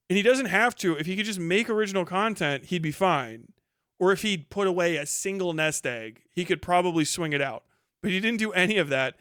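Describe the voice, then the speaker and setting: A man with a high, clean and smooth voice, recorded dry and close. He hosts a livestream in a casual reaction style, blunt and mocking, with plenty of swearing. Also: fairly bright tone, dry recording. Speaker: livestream host. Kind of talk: casual live reaction commentary, reading posts aloud.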